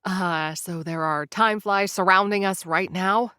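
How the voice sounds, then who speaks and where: A woman's voice: medium pitch, a little slow, bright, forceful tone, clear, resonant tone, dry recording, studio quality. A young woman voicing a sarcastic, nervous influencer who narrates her story straight to the listener in an audio drama.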